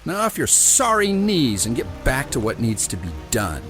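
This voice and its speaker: A man's voice: mid-range voice, wildly swooping pitch, moderate pace, over music. An actor playing a stern, scolding man of a medieval household in an audio drama. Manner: scolding, imperious.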